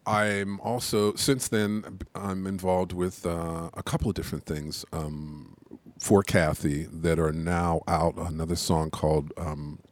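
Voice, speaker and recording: A man in a casual interview, talking about his music in a relaxed, halting way with many pauses. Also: low-pitched, dry recording. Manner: relaxed, hesitant with many ums. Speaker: musician guest.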